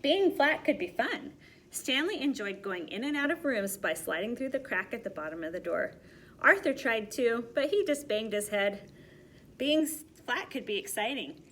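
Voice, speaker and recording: Animated high-voiced woman reading to children. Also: moderate pace, slight background noise. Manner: expressive, explains words simply to children. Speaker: teacher reading a storybook aloud. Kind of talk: animated children's read-aloud with asides to young listeners.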